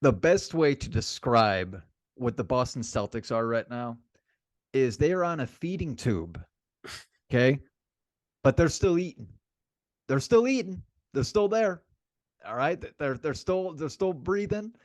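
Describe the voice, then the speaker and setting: A man's voice: medium pitch, lively intonation, measured pace, long pauses, dry recording. A man co-hosting a sports podcast in casual chat, speaking emphatically and repeating phrases for effect.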